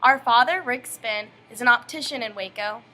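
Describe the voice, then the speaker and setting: A woman's voice: on the high side, normal speed, bright, forceful tone. A young woman from Texas reading her school family-history introduction in a scripted recitation. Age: young adult. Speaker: student presenter.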